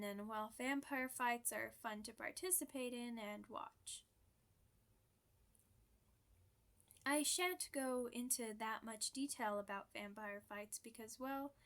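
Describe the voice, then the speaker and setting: Genteel immortal vampire lady, played by a woman, ASMR roleplay interviewee: high voice, polite, chatty, quietly proud. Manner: genteel, conversational, slightly formal phrasing.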